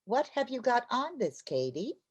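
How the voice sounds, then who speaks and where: An actress playing a well-meaning, practical housewife in an old-time radio comedy, wry and easily exasperated. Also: female voice, on the high side, big pitch swings, normal speed, a little mellow, slightly rough, dull audio. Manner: wry, emphatic.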